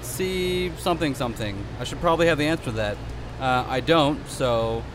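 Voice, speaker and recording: A man's voice: medium pitch, normal speed. A man hosting a casual podcast from a trade show booth, bantering and making fun of himself. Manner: joking, self-deprecating banter. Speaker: podcast host. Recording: trade show hall noise behind.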